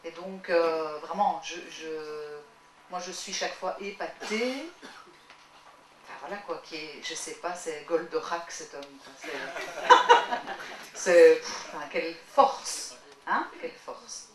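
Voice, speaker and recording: Woman, audience remarks, halting and enthusiastic, roomy hall, far from the mic. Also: low voice, a little hiss or hum. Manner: halting, enthusiastic, stumbles over words. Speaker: audience member. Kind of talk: public Q&A remarks. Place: screening hall.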